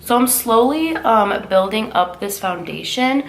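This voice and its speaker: A woman's voice: fairly high pitch, animated, measured pace, vocal fry, low-quality recording. A young woman in her twenties giving a casual makeup tutorial, chatty and upbeat with many filler words, sounding nasal as she gets over a cold. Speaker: beauty vlogger.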